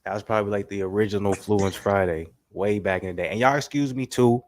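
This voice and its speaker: A man hosting a casual boxing talk show while sick with a stuffy nose, talking in slangy, animated bursts and filling his speech with "you know what I'm saying". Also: fairly low pitch, fast talker, no room sound, clean studio sound. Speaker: host.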